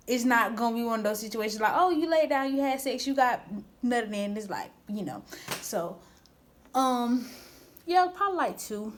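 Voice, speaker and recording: Chatty young woman telling a storytime. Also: medium pitch. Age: 20s. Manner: candid, chatty, slangy, rambling with restarts. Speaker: vlogger. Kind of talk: casual storytime.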